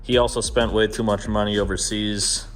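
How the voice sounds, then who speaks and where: A man's voice: lowish voice, level tone, moderate pace, over music. A man hosting a vlog, chatting casually to camera in a slangy, self-deprecating, sweary way. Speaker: vlog host. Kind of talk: casual chat to camera about car and bike builds.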